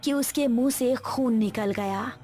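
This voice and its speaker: A woman's voice: on the high side, a little slow, warm tone, faint music behind. A woman reading a scripted horror story as its narrator.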